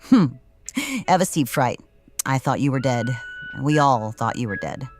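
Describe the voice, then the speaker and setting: An actress playing a smirking, cruel wrestling boss in a noir audio drama, with a taunting, theatrical manner. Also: female voice, very deep voice, animated, measured pace, over music. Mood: smug, playful. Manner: taunting, theatrical, hums while eating.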